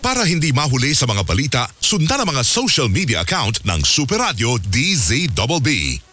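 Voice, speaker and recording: A man's voice: mid-range voice, huge pitch swings, moderate pace, over music. A man reading scripted station promos as a radio announcer, with emphatic delivery.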